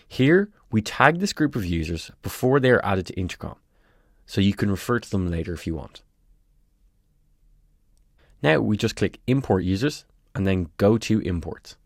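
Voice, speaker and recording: A man presenting a scripted tutorial, giving clear step-by-step instructions in a close, dry voice-over recording. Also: lowish voice, lively intonation, a little slow, pauses often, dry recording.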